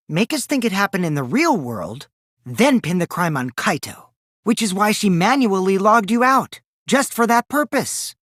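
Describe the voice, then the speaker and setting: A mischievous teenage boy from a video game, with wildly animated pitch and a close, dry sound. He taunts in a childish singsong and swings theatrically between glee and cool, sly scheming. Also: dry recording, full character voice. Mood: explaining. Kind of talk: English video game character voice acting.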